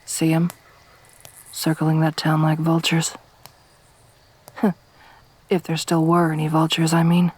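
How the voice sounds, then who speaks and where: A woman voicing a tough, wry young survivor woman in a soft-spoken ASMR roleplay, with a dry, teasing, gruff manner. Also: low voice, completely flat delivery, unhurried, pauses often, rough recording. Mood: low-key, wry.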